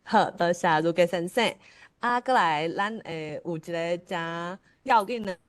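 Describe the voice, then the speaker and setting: A woman with a Taiwanese accent hosts an online literary event. Her voice sits fairly low and comes through dry. She is polite, pausing as she searches for the next phrase. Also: no room sound. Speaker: host of an online literary forum. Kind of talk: informal event hosting.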